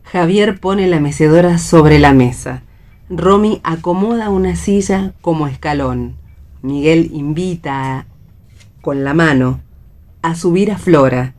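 A woman's voice: low-pitched, unhurried, darkish tone. A woman with an Argentine accent reading stage directions as the narrator of a radio play.

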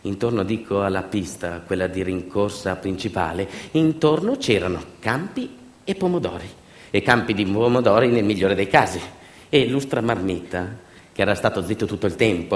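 A man performing a theatrical narrative monologue as a stage storyteller, in a colloquial, emphatic manner that leans on repeated phrases. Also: medium pitch. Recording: roomy echo, faint music. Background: faint music.

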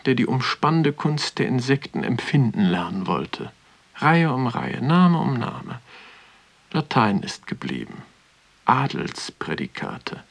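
An actor playing a pensive young man who muses aloud in deliberate, poetic phrases in a radio drama. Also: medium pitch, creaky, heavily acted. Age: young adult. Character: pensive, awestruck young man wandering a museum. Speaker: narrator and protagonist of a radio play. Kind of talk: literary interior monologue, radio drama.

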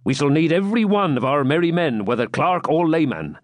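A man's voice: on the high side, sing-song, brisk, no echo, voice pushed up. Man voicing a firm, commanding outlaw woodsman. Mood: brisk reproach. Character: forthright, commanding male outlaw yeoman woodsman. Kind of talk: audiobook character voice.

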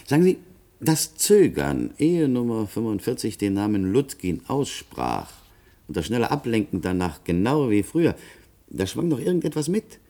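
Radio drama: male prosecutor, dark mellow voice, animated intonation, courteous and probing. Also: lowish voice. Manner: deliberate, probing, formal. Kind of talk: German radio play acting.

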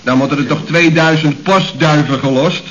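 A man's voice: on the high side, normal speed, a bit bright, lightly acted. A man playing a carefree, bumbling employee in a radio comedy, sheepish and casual. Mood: sheepish, breezy. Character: bumbling, carefree male employee making feeble excuses. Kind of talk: 1970s Dutch radio comedy acting. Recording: very noisy, muffled old radio recording.

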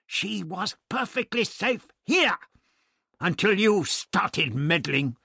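A man's voice: mid-range voice, very animated, slow pace, slightly rough, no room sound, studio quality, voice pushed up, full character voice. A man voicing an irascible, authoritative older doctor in an audiobook story, with emphatic, old-fashioned phrasing. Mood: angry, scolding. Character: irascible, authoritative older male doctor, gruff but kindly.

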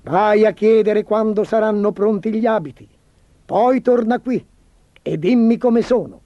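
A man's voice: sits high, unhurried, no room sound, highs cut off, voice pushed up. A man voicing a vain, imperious, spoiled storybook emperor in a petulant, commanding, theatrical manner. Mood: scheming. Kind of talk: storybook character voice.

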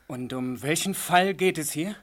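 An actor playing a young medical student under suspicion in a German radio play, touchy, defensive and a little hesitant. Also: male voice, fairly high pitch. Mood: nervous, indignant. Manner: touchy, hesitant, quick to bristle.

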